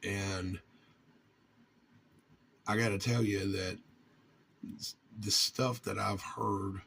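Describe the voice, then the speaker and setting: A man from North Carolina, talking informally to his viewers in a halting, earnest way with long pauses. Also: fairly low pitch, fairly even intonation, vocal fry, a bit bright, a little hiss or hum. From North Carolina, United States. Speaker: vlogger and amateur radio hobbyist.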